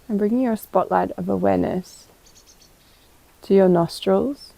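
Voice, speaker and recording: A woman guiding a meditation as a yoga teacher, calm and gentle, giving unhurried instructions with pauses. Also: medium pitch, vocal fry, no room sound, poor audio. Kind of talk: guided meditation.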